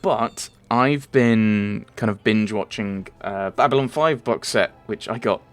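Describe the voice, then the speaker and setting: Low-voiced, um-filled young man from Merseyside. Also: a little slow. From Merseyside, England. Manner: hesitant, many ums, self-correcting. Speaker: channel host answering viewer questions. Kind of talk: casual rambling voice-over Q&A. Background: faint game music.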